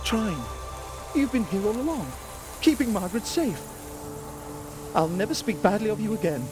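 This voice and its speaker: An actor playing an earnest, excitable wizard hero in a fantasy video game, with dramatic delivery. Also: male voice, high-pitched, moderate pace, music in the background. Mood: amazed, relieved. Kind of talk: video game character acting.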